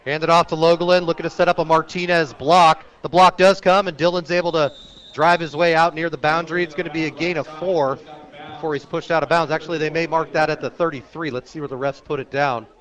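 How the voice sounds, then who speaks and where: Male radio play-by-play sportscaster, fairly high-pitched, clipped descriptive delivery for live high school football. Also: fast. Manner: clipped descriptive phrases, excited on big plays. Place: outdoor stadium, live radio broadcast.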